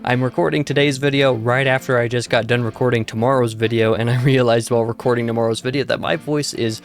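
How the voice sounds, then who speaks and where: Hoarse man rambling about books. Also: mid-range voice, fairly even intonation, fast. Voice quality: weak, scratchy voice. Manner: chatty and rambling, with many fillers and self-corrections. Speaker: host. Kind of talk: casual book review vlog.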